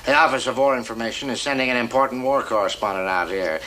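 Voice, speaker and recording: An actor with an American accent playing a stern, pompous, easily exasperated middle-aged navy captain in a sitcom. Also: male voice, mid-range voice, fast talker, pushed and bright, a little hiss or hum, lightly acted. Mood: officious. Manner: pompous, scolding. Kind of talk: sitcom acting.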